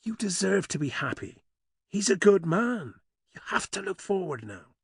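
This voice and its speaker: A male narrator voicing the heroine's teasing, upbeat brother, pitched higher than his narration. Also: normal speed, vocal fry, breathy edge, no echo, exaggerated voice. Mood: reassuring. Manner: lively, teasing.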